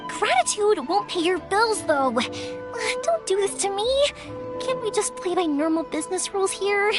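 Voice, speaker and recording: A woman voicing a cheerful, eager young fireworks maker in a video game, expressive and chatty, with music playing behind. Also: very high voice, moderate pace. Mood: surprised, protesting. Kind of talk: scripted video game voice acting.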